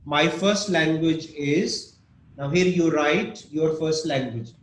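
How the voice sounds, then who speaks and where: Man, English teacher, slow and patient, slightly high voice, echoey room mic. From Pakistan. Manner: slow, patient, explains with examples and asks students questions. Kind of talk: online class lesson. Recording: echoey room with faint noise.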